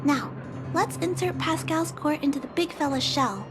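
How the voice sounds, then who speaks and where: Game girl, kind and polite,; very high, gentle, questioning, with game music behind. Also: expressive, normal speed. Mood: curious. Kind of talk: video game cutscene dub.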